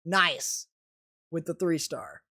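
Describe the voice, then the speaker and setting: A young man commenting live on a video game match, excitable and quick to burst into exclamations, his voice strained and starting to go hoarse. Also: a little high. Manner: excitable, rising to sudden exclamations. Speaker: esports commentator and streamer.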